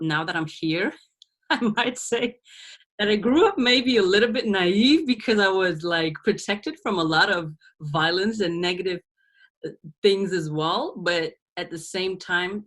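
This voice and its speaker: Low-voiced Belgian woman, reflective. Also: fairly bright tone. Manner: reflective, laughs often, many restarts. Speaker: guest. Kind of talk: casual interview.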